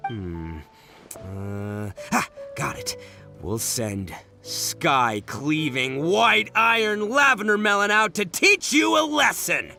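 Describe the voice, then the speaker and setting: A man's voice: on the high side, big pitch swings, slow, creaky, pushed and bright, heavily acted. A man playing a brash, goofy young gang boss in a video game, boastful and theatrical. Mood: defiant. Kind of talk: video game character acting. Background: music.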